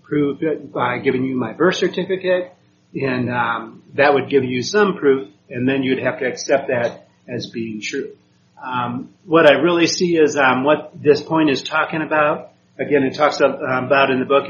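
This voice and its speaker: A man from Puerto Rico lecturing a class in a relaxed, halting way, stopping often with "um" and checking in with "okay?". His voice sits at a middle pitch. The recording is dull and lo-fi, with a faint room hum behind him. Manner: hesitant, many ums, checks with "okay?". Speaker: teacher.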